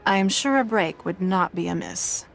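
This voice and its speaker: A woman's voice: lowish voice, lively intonation, gravelly, a little hiss or hum. A woman playing a composed, formal, authoritative civic leader in a video game. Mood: polite, concerned. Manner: formal, poised, measured. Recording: music behind the voice.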